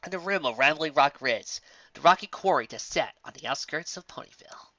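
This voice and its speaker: A woman narrating a fan-fiction story in an expressive storybook style. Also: deep voice, fairly quick, no echo. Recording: dull, muffled audio.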